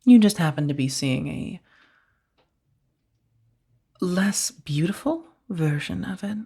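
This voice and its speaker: A woman playing a powerful, seductive mafia boss in a soft-spoken ASMR roleplay, speaking in a breathy, measured, commanding and teasingly menacing way. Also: fairly low pitch, expressive, exaggerated voice. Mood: amused, condescending. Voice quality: soft, breathy, often slipping toward whisper. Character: powerful female mafia boss, coolly controlling, seductive kidnapper.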